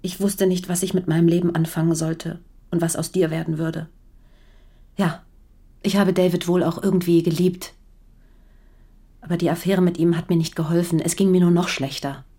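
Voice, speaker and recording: Weary defiant wife, lowish voice, frank, reproachful, radio crime drama. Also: female voice, little pitch movement, normal speed, dark tone, husky. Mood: confessing, subdued.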